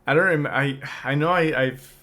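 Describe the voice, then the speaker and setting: A man's voice: a little high, normal speed, bright, forceful tone. Rambling, reflective young man. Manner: reflective, rambling with many restarts. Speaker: podcast co-host. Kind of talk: casual chat.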